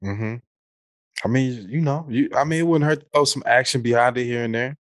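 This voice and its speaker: A man's voice: medium pitch, brisk, no room sound, clean studio sound. An American man co-hosting a podcast in casual, unscripted chat, speaking in an opinionated, colloquial way with some mild swearing. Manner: opinionated, colloquial, mildly profane. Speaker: podcast co-host.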